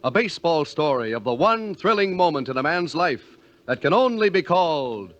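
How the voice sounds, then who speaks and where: A man's voice: on the high side, sing-song, moderate pace, a bit bright. A man working as a 1950s radio announcer, reading scripted copy in a polished, theatrical, emphatic way. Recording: dull vintage radio recording.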